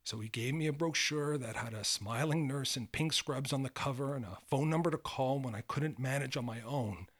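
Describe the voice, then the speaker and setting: An actor playing a remorseful, dying old man who confesses haltingly in an audio drama monologue. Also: mid-range voice, lightly acted. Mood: weary, reflective. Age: older.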